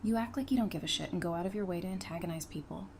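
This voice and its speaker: A woman acting a frank, dry-witted guard with a quietly caring side in an audio drama, speaking directly. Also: lowish voice, rapid-fire, natural voice. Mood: probing, concerned. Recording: faint background noise.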